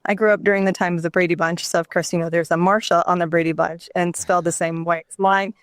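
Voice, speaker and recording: A middle-aged woman from New Mexico, a somatic therapist talking reflectively in an interview, with many pauses and ums. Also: on the low side, level tone, dry recording, clean studio sound. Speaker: guest, somatic therapist and author. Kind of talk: candid interview conversation.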